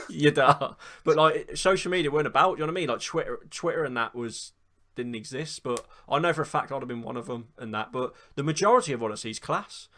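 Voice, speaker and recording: A man's voice: medium pitch, animated, fast talker, sharp tone. A man, hosting a casual football chat in a chatty way and swearing now and then. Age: 20s. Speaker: podcast host.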